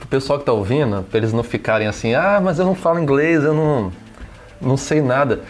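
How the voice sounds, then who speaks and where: A man's voice: mid-range voice, expressive, fast talker, faint music behind. A man with a Brazilian Portuguese accent hosting a podcast chat, talking casually with many restarts and tag questions. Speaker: podcast host.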